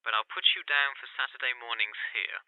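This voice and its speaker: A man's voice: mid-range voice, brisk, dry recording. A man playing a polite, businesslike employer in a scripted phone interview, heard through a telephone filter. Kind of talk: scripted listening-test dialogue. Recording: thin, narrow telephone line.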